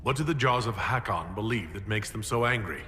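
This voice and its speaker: An actor playing a composed, courteous leader in a fantasy video game, asking questions in a measured, businesslike way. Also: male voice, on the low side, animated, pushed and bright, long reverb tail, a little hiss or hum. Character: composed male leader of an inquisition, courteous and purposeful. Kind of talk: video game dialogue acting.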